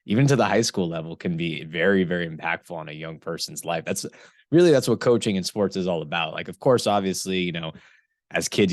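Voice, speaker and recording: A man's voice: deep voice, no room sound, studio quality. A man hosting a casual podcast interview, friendly and affirming, often agreeing and laughing with his guest. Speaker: podcast host.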